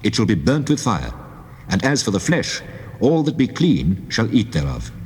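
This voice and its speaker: A solemn, commanding God speaks in a huge reverberant space. The voice is low and resonant, swelling and falling widely as it declaims divine law in a scripture drama. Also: male voice, big pitch swings, darkish tone, slight background noise. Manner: solemn, declamatory, measured delivery. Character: the Lord God, solemn commanding male lawgiver. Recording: long cavernous reverb.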